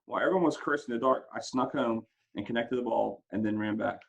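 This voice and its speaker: Gravelly, husky man reading a story aloud. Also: medium pitch, fast. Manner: dramatic, acts out dialogue, stumbles over words now and then. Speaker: school principal reading a book aloud. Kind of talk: expressive audiobook-style read-aloud of a first-person story.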